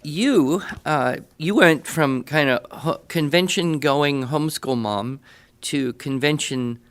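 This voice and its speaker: A middle-aged man hosting a podcast interview, speaking conversationally in deliberate, broken-up phrases. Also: fairly high pitch, clear, resonant tone.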